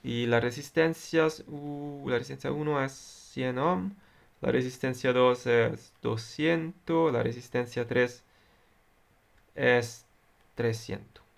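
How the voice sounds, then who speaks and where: Man, Italian accent, native Italian, electronics tutor, gravelly, deliberate and halting. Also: mid-range voice. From Italy. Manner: deliberate, halting, many fillers. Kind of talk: explaining circuits at a whiteboard.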